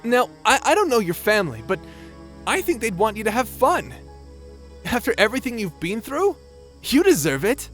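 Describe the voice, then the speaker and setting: Man acting a high-voiced, easygoing youthful space pirate. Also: big pitch swings, normal speed, fairly bright tone, soft background music. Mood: reassuring. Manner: casual, teasing, reassuring. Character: youthful-seeming centenarian space pirate, teasing, supportive, easygoing. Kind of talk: audio drama acting.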